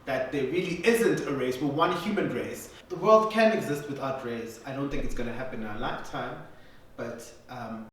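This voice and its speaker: Calm reflective young man in a very echoey room. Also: mid-range voice, distant mic, slight background noise. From South Africa. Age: 20s. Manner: measured, reflective, argues calmly. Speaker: musician. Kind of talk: documentary interview.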